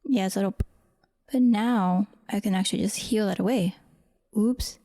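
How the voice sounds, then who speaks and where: A woman's voice: medium pitch, a little slow, mellow. A woman talking casually through an audio editing walkthrough as a voice actor, murmuring to herself in short fragments. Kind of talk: casual screen-recorded editing walkthrough, thinking aloud.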